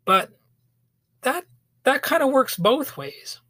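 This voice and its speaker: A man hosting a solo podcast, ranting sarcastically with lots of fillers and restarts, his voice a little hoarse from a cold. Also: very high-pitched, big pitch swings, slow pace, sharp tone, dry recording, studio quality. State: slightly hoarse, getting over a cold. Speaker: podcast host. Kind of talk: solo opinion podcast monologue.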